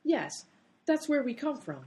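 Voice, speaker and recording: A woman's voice: sits high, very animated, unhurried, a little mellow. A woman playing an exasperated, sarcastic time traveler in a comedy radio play. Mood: explaining.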